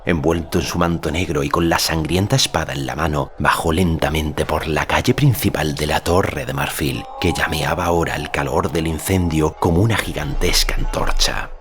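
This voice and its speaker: A man's voice: extremely low pitch, steady tone, normal speed, few pauses, fairly bright tone. A man reading as an audiobook narrator, giving dramatic scripted narration of a fantasy story. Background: music.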